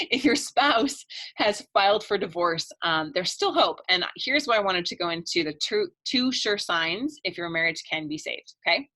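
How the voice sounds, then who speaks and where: A woman's voice: fairly low pitch, pushed and bright. Upbeat woman coach, losing her voice. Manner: upbeat, chatty, laughs between points. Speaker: marriage coach. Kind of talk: live online webinar pitch.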